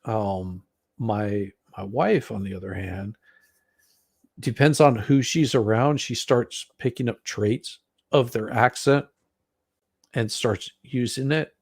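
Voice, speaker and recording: An animated man with a gentle Southern American accent. He chats casually with friends on a stream, laughs easily and often restarts his sentences mid-thought. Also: medium pitch, slow, gravelly, no room sound, studio quality. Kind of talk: casual chat.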